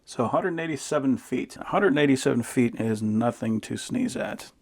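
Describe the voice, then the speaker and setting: Gravelly man reviewing gear. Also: mid-range voice, big pitch swings, fairly quick, a little mellow. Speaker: product reviewer. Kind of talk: casual hands-on unboxing review.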